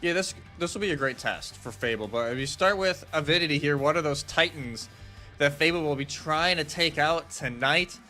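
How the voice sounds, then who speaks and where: A man's voice: on the high side, moderate pace, bright, forceful tone. A man doing conversational live esports analysis as a commentator, explaining things in a casual way. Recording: music behind the voice. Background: music.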